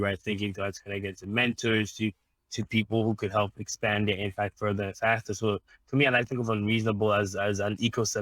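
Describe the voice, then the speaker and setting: A man from Ghana, an impact investor, answering interview questions in a measured, explanatory way with frequent ums and restarts. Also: lowish voice, fairly bright tone, no room sound, rough recording.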